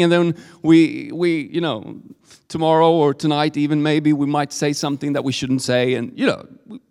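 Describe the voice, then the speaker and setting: A man from Sweden, a native Swedish speaker, preaching a sermon in English in a conversational, halting way with frequent restarts. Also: on the high side. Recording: reverberant church hall.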